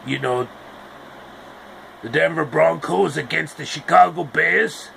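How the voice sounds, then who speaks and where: A man's voice: a little high, slow pace, creaky, sharp tone, slight background noise, exaggerated voice. A man voicing a distracted man watching a football game, who talks in an offhand, dismissive way.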